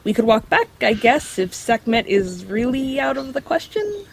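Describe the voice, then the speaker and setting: A woman's voice: a little high, big pitch swings, moderate pace, vocal fry, husky, exaggerated voice. A woman voicing a knowledgeable magical creature in dog form, explaining things matter-of-factly with dry wit in an audio drama. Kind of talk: scripted audio drama acting.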